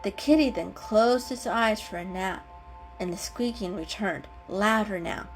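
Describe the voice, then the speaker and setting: A woman's voice: mid-range voice, measured pace, poor audio. A woman narrator giving a dramatic storybook reading. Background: music.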